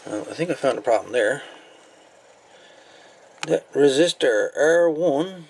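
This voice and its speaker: A man presents a piece of gear in a relaxed, hands-on video. He speaks in a medium-pitched voice with folksy, colloquial phrasing, as if chatting to viewers while he works. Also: takes it slowly, gravelly, slightly rough, rough recording. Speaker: video host reviewing and repairing gear. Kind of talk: casual hands-on demonstration talk. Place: indoor workbench.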